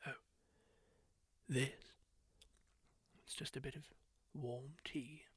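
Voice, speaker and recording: A man whispering as a kindly masked male wanderer who gently reassures a wounded stranger, with musing asides. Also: mid-range voice, steady tone, slow pace, breathy edge, no echo. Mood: soothing. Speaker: ASMR roleplay creator. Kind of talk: whispered ASMR roleplay.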